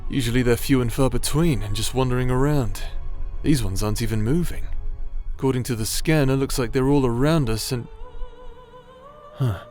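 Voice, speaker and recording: A man's voice: mid-range voice, moderate pace, darkish tone, natural voice. A man acting in an audio roleplay as a brash, irreverent warrior with a sarcastic, teasing way of talking. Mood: sardonic musing. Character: brash male bastard warrior, sarcastic, teasing, foul-mouthed. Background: music.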